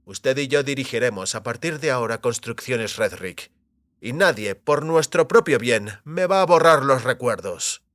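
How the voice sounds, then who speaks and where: A man playing a determined, defiant technician who is tired of being used, speaking firmly and deliberately in an audio drama. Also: medium pitch, animated, a bit bright, dry recording, close to an everyday voice. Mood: defiant, resolute. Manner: firm, deliberate, insistent.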